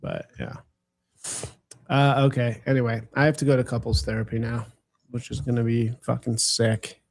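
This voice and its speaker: Excitable laughing man in crude banter. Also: medium pitch, normal speed, gravelly. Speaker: podcast host. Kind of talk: casual banter among friends.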